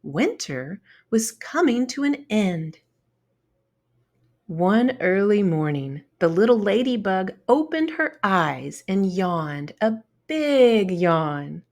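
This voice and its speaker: A woman narrates a children's storybook in a mid-range voice. She takes her time and shapes each phrase with animated, expressive intonation. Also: mid-range voice, slow.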